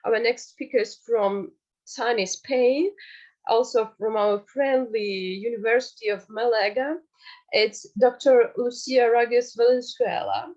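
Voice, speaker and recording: A woman's voice: on the high side, unhurried, a little mellow, highs cut off. A woman moderating an online round table, introducing speakers politely and formally.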